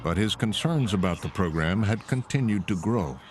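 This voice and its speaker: An American man reading scripted documentary narration in a measured, serious way. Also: lowish voice, lively intonation, slight background noise. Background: background music.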